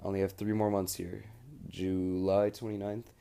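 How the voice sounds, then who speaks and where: A man's voice: sits low, level tone, moderate pace, creaky, mellow, smooth. A young American man recording a casual audio diary, rambling informally in slangy everyday speech. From United States. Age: young adult. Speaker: student recording an audio log abroad.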